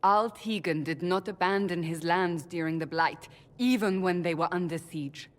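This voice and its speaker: Low-voiced, stern warrior woman, played by an actress. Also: moderate pace, close to an everyday voice. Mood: challenging. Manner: blunt, challenging. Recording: long hall reverb.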